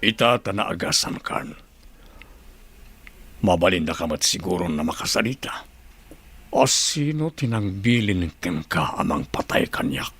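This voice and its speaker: A stern, demanding governor in an Ilocano radio drama. His voice is low and husky, with a Filipino Ilocano accent. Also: animated, measured pace, long pauses, fairly bright tone, full character voice.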